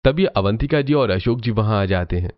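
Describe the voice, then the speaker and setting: Lower-pitched male story narrator. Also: lively intonation, normal speed, highs cut off.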